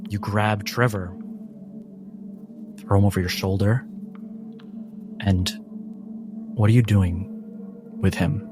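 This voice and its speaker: A man running a tabletop game as game master, narrating in measured, dramatic phrases. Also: lowish voice, fairly even intonation. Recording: music bed under the voice.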